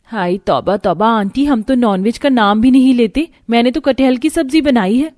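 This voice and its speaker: A woman's voice: on the high side, normal speed, a little mellow, no echo, natural voice. A woman playing a modest but proud, capable elder sister-in-law in a cartoon story, sounding self-assured. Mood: indignant.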